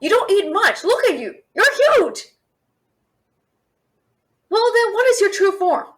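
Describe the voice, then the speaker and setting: A woman acts out a feisty young thief from a fantasy audio drama, caught by a dragon and full of questions. Her voice is very high and expressive, with a slow pace. She talks straight to the listener in a sassy, incredulous manner. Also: heavily acted. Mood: indignant, flustered. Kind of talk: fantasy audio roleplay, one-sided dialogue to listener.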